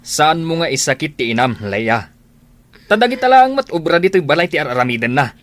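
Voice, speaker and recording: A man's voice: a little high, big pitch swings, a little fast, fairly bright tone, dry recording. A man acting a domineering, suspicious husband in a radio drama, contemptuous and boastful. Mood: critical, nagging.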